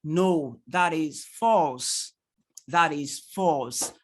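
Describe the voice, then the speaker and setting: Lively Nigerian-accented male tutor. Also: fairly high pitch, extremely slow. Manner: animated, rhetorical questions, laughs often, answers chat. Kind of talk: online training lecture to parents.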